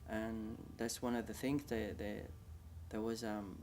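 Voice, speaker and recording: A man with a Vietnamese accent recalling his life in halting, second-language English, with frequent restarts. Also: lowish voice, level tone, a little mellow, a little hiss or hum. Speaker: interviewee. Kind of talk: casual recollection.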